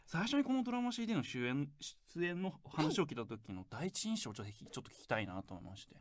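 A man's voice: fairly high pitch, big pitch swings, quick pace, no room sound, clean studio sound. A man speaking as a guest scenario writer in a casual interview, jokey, self-deprecating and polite.

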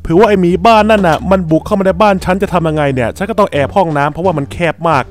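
High-pitched, exclamatory man in roleplay. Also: rapid-fire. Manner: exclamatory, colloquial. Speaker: creator voicing characters in a Minecraft roleplay video. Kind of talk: animated improvised roleplay.

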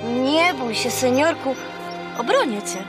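Brave, eager girl of thirteen, high childlike voice, music underneath, children's audio play. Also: lively intonation, slow. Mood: reassuring. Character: thirteen-year-old girl, brave, earnest and imaginative. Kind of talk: children's audio drama acting.

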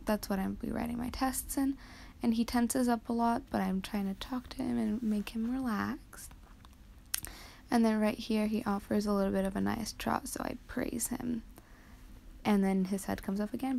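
A teenage girl from Canada talking softly over her riding footage, explaining each step with frequent hesitant ums. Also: on the high side, fairly even intonation, normal speed, creaky, a little hiss or hum. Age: teens. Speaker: vlogger.

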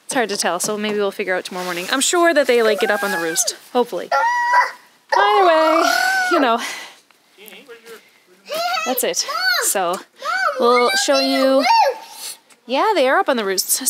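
A woman in her thirties from Canada, filming herself outdoors in a rural yard at arm's length, talking softly and rambling with many fillers, sad. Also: a little high, big pitch swings, measured pace, pauses often. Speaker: homestead vlogger. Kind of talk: casual vlog to camera. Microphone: camera held at arm's length. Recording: outdoor camera audio with background noise.

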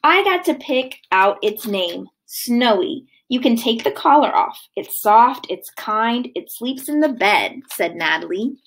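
Female teacher, thirties, highish pitch, very animated sing-song read-aloud for young kids, recorded at home. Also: measured pace, few pauses, breathy edge, low-quality recording. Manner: expressive, sing-song storytelling delivery. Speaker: kindergarten teacher. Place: living room at home, indoors.

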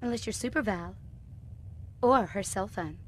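A woman's voice: mid-range voice, a little slow, slight background noise, lightly acted. A woman playing a sassy, vain glamour-girl heroine in a video game, flippant and playful. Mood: defiant. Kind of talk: video game cutscene acting.

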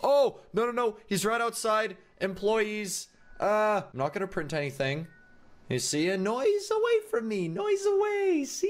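A man in his twenties giving whiny, dramatic commentary while playing a game. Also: sits high. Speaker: gamer.

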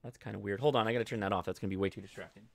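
A man's voice: on the low side, steady tone, very fast, creaky, dry recording. An American man in his forties hosting a casual livestream explainer, talking informally and thinking aloud. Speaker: host of a ham radio show. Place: indoor home studio.

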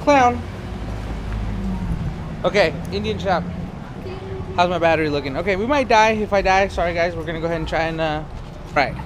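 A man's voice: sits high, lively intonation, normal speed, pauses often, background noise. A young man in his twenties filming a casual vlog on an arm's-length action camera, joking around and swearing as he walks through town. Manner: joking, swears, laughs a lot. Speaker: vlogger. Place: outdoor streets and shops in a small town. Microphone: action camera held at arm's length.

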